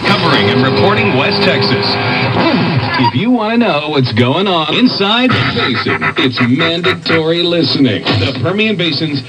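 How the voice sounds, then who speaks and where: A man's voice: on the high side, lively intonation, moderate pace, rough recording. A male radio announcer giving a polished station promo.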